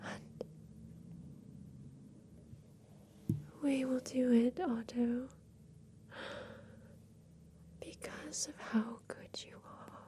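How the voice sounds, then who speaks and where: A woman playing a tender, ailing inventor of a mechanical creature in a soft, whispered ASMR roleplay. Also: high voice, steady tone. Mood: tender, wistful. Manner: slow, gentle, soothing, with pauses.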